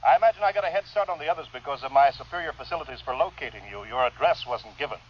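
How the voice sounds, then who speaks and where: An actor in a 1950s radio drama playing an ingratiating, pompous munitions executive with a formal, wordy manner. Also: male voice, medium pitch, lively intonation, racing, natural voice. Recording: muffled, hissy vintage radio recording.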